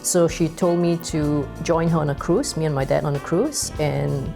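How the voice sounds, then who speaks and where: A woman's voice: sits low, steady tone, moderate pace, gravelly, music in the background. A middle-aged woman from Malaysia telling how she met her husband in a studio interview. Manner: chatty, recounting a story. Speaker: interview guest. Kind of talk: casual storytelling interview. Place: TV studio, indoors.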